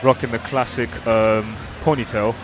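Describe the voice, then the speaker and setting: A man's voice: medium pitch, fairly even intonation, moderate pace, over music, crowd noise. A man giving casual, hesitant live commentary on a fight. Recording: noisy event hall ambience.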